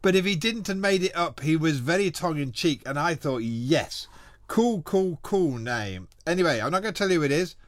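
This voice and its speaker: A man's voice: fairly high pitch, animated, normal speed, no echo, studio quality. A man presenting a radio music show, chatting casually and rambling between songs with frequent laughs. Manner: chatty, rambling, self-deprecating, laughs often. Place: small radio studio. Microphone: studio microphone.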